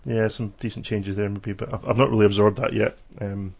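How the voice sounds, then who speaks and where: A Scottish man with a low, gravelly voice hosts a relaxed podcast conversation. He is chatty, laughs easily, and trips over restarts as he goes. The sound is dull, lo-fi call audio. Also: fairly quick. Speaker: podcast host. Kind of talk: casual chat on an online call. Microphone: remote call microphone.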